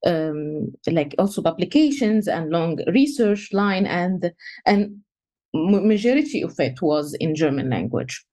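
A young woman from Egypt, a researcher answering interview questions in a halting way, with many fillers and restarts. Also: fairly low pitch, expressive, warm tone. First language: Egyptian Arabic. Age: young adult. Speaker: guest researcher.

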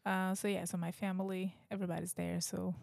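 A woman's voice: on the low side, little pitch movement, brisk, vocal fry, darkish tone, dry recording. Female co-host, 30s, Brazilian accent, Portuguese native, chatty, dry room. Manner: chatty, lots of "like" fillers. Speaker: podcast co-host. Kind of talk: casual chat.

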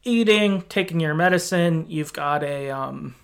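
Higher-pitched man recapping a story casually. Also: a little slow, gravelly, clear, resonant tone. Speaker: podcast host. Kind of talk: casual podcast discussion, recapping a game's story.

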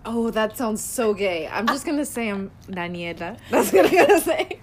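A woman's voice: fairly high pitch, very animated, normal speed. A young woman co-hosting a casual podcast, rambling, giggling and swearing casually. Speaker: podcast co-host. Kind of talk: casual chat.

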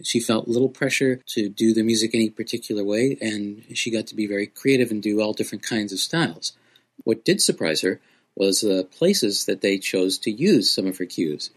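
A man hosting a podcast music segment, explaining things in an informal presenter's way. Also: on the low side.

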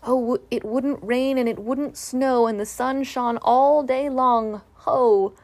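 A woman's voice: on the high side, lively intonation, moderate pace, dry recording. A woman voicing a cheerful, friendly male bear in a children's storybook, earnest and given to sing-song delivery. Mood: merry sing-song.

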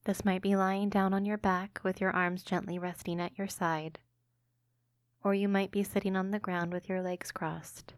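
A woman hosting a guided imagery meditation podcast, speaking slowly and gently into a close mic. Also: medium pitch, level tone, no room sound. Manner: slow, soothing, gentle delivery.

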